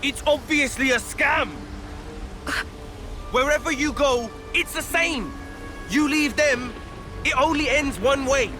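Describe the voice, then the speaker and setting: A man's voice: very high voice, takes it slowly, long pauses. An actor with a British accent playing an earnest, hot-blooded young man in a dramatic video game scene, blunt and colloquial. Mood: scornful. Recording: voice over loud music.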